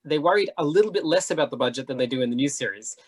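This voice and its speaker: Male panelist, rapid and animated conversational delivery, slightly rough-edged voice. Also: on the high side. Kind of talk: casual panel discussion.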